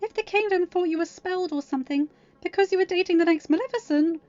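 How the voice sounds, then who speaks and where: A woman's voice: very high-pitched, fast, no room sound, pitched above the performer's own voice. A woman voicing a guarded, exhausted teenage girl in an audio story, pleading and full of self-doubt. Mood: anxious, resigned. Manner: pleading, soft then snapping. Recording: dull lo-fi audio. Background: faint music.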